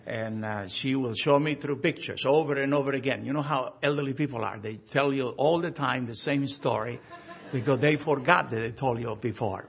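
A middle-aged man from Argentina, a pastor giving a memorial service address, halting with frequent fillers. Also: mid-range voice, vocal fry, slightly rough, muffled recording.

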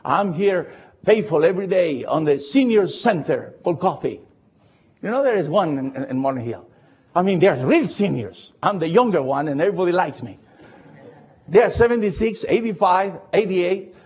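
An older man with a foreign accent preaching an expository Baptist sermon with personal anecdotes, emphatically repeating short phrases in a husky voice. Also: high-pitched, expressive, normal speed, a little mellow, lo-fi sound.

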